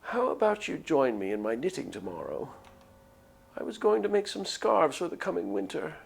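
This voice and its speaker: A man voicing a kindly, concerned old village woman from a visual novel, gentle and motherly, with drawn-out words. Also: a little high, very animated, gravelly, slightly rough, voice pushed up, heavily acted. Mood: warm. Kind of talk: visual novel character read aloud.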